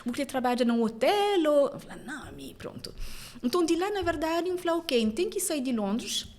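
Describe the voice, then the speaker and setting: A woman from France with a Cape Verdean accent, a journalist telling her story as a podcast guest in lively conversation. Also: high-pitched. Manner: animated storytelling, quick laughs. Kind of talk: casual interview conversation.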